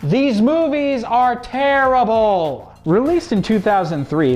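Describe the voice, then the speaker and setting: A man's voice: high voice, a little mellow. A man co-hosting and narrating a scripted video commentary. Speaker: co-host. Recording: music behind the voice.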